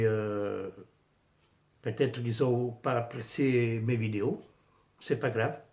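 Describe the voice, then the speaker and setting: A middle-aged man with an Italian accent, speaking French as a second language, casually vlogging about his pin collection with many pauses and fillers. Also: mid-range voice, a little mellow. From Italy. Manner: hesitant, many fillers and restarts, searching for words. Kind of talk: casual unscripted vlog.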